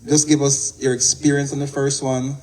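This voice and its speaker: A man's voice: medium pitch, little pitch movement, moderate pace, a little mellow, poor audio. A man interviewing guests, asking long rambling questions. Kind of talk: casual interview.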